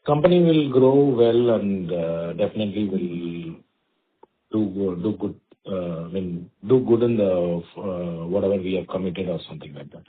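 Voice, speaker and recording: An executive answers investors haltingly, repeating words and leaning on fillers. His voice is somewhat low. It comes through a narrow, tinny phone line. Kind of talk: earnings call answers. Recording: phone-line call audio.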